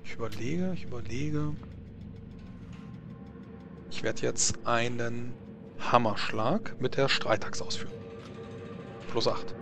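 A man running a tabletop combat as game master, talking casually and a bit haltingly as he narrates. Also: mid-range voice. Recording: music bed behind voice. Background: background music.

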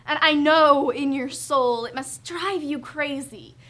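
A woman's voice: sits high, takes it slowly, fairly bright tone. A teenage girl performing a theatrical monologue as a hurt, jealous young wife, emphatic and sarcastic. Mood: exasperated, pleading. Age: teens. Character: hurt, jealous young wife berating her self-absorbed husband.

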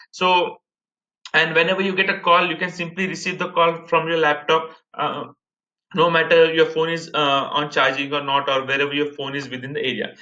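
A man's voice: a little high, bright, forceful tone. A man in his thirties with an Indian accent, presenting a casual step-by-step screen-share tutorial in a repetitive way. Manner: repetitive, step-by-step explaining, addressing viewers as guys. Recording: muffled, echoey room recording.

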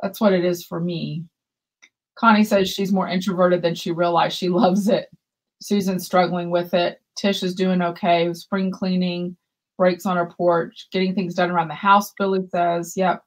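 Warm-voiced woman reading viewer comments. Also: lowish voice, little pitch movement, normal speed, rough recording. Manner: reads viewer comments aloud, chatty and reassuring. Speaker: yarn shop co-host. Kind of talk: casual livestream chat. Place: room with shelves of yarn behind. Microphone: webcam video call.

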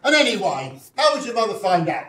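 A man acts a henpecked, mild-mannered father and old soldier in pantomime style. His voice is high for a man, hesitant and gently blustering. It is captured in a roomy, echoing stage space. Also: big pitch swings, a little fast. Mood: blustering, indignant. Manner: hesitant, mildly indignant, proper. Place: indoor stage, amateur theatre performance. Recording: roomy stage recording, noticeable background noise.

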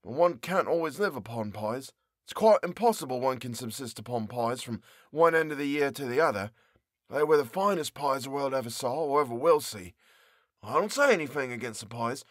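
A man's voice: medium pitch, animated, a little fast, fairly bright tone, no echo, clean studio sound. A man voicing a young male baker who is fed up and trapped in a cellar, talking in an exasperated, complaining way. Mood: grumbling.

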